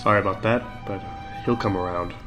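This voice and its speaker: An actor playing an easygoing, level-headed peacemaker among adventurers in a fantasy audio drama. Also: male voice, sits low, fairly even intonation, a little fast, lightly acted. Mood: calm, reassuring. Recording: music behind the voice.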